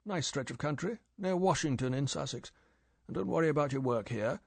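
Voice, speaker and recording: A man's voice: fairly high pitch, lively intonation, brisk, gravelly, a little mellow, slightly rough, no echo, voice pushed up, heavily acted. A man reading an audiobook, voicing M, a stern older male spy chief who lectures in a clipped, scolding way. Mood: brisk cheerful.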